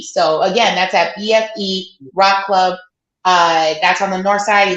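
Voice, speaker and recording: A woman's voice: mid-range voice, a bit bright. Chatty woman podcast host, echoey room. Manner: chatty, plugs events with loose asides. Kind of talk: casual sports podcast chat. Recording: roomy echoey sound.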